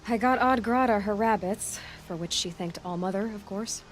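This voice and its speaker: A woman's voice: mid-range voice, moderate pace, natural voice. A woman acting a stubborn, determined young outcast hunter in a video game, speaking directly and wryly. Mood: casual. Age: young adult. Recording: music behind the voice.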